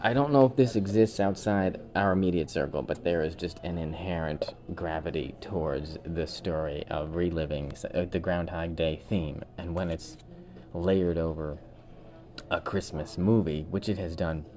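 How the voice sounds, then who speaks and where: This is a man with a low-pitched voice co-hosting a podcast. He talks casually and gives his opinions freely. His delivery is halting, circling back over phrases as he makes his case. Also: fairly even intonation, a little mellow, a little hiss or hum. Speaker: podcast co-host.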